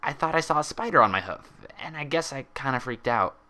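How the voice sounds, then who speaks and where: A nervous, evasive young mare from a storybook, acted out by a male reader. The voice is lifted and hesitant, stumbling over words and quick to get defensive. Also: medium pitch, animated, bright, forceful tone, pitched above the performer's own voice. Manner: hesitant, stammering, halting.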